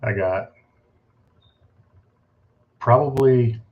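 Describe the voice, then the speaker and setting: A man's voice: medium pitch, drawn-out words. A man co-hosting a live stream, chatting casually and joking. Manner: joking, easygoing. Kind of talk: casual chat. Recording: dull lo-fi stream audio.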